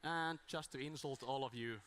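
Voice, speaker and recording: A man speaking into a handheld mic at a small conference, his voice strained from days of talking. He wanders through his points with restarts and dry, self-deprecating asides, at an everyday middle pitch. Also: moderate pace, a bit bright. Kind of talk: informal conference talk.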